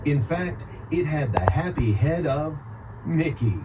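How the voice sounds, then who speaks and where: Expressive man narrating a children's storybook. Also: fairly high pitch, slow, very noisy. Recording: loud music behind narration. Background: music.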